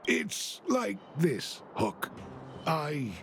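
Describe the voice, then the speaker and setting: An actor playing a gentle, doting father in a video game, soft-hearted and a little hesitant. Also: on the high side, wildly swooping pitch, extremely slow, creaky, a little hiss or hum, exaggerated voice. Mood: tender, sheepish. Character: gentle, doting working-class father, tenderly apologetic. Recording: game music faintly behind the voice.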